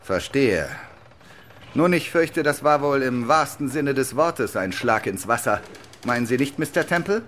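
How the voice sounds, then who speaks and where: A suave, guarded major in a radio drama. He speaks formally and deliberately with dry irony, his melody very lively over faint ambience. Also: medium pitch, slight background noise.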